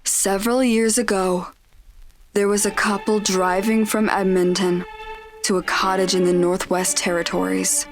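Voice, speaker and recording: A woman's voice: medium pitch, flat delivery, a little slow, lightly acted. A woman playing a teasing girl who tells spooky stories in an audio drama. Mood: ominous. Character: teasing girl telling a spooky ghost story. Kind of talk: suspenseful storytelling in audio drama. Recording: music under the voice.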